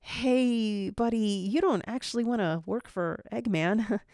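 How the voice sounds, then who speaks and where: A woman's voice: on the high side, a little slow, a little mellow, no room sound, studio quality, voice pushed up. A woman voicing a friendly, casual male hedgehog hero with a chummy, persuasive manner. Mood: coaxing.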